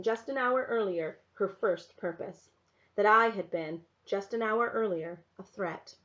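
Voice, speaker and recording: A woman teacher reading a novel aloud to her class in a soft, measured, unhurried way. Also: medium pitch. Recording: dull lo-fi recording.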